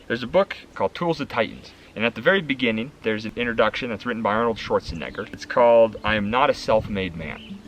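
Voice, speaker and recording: A man's voice: medium pitch, fairly bright tone, slight background noise, low-quality recording. A man chatting casually to camera outdoors in the woods, upbeat and joking about himself as he works on his cabin. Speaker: vlogger building his own cabin.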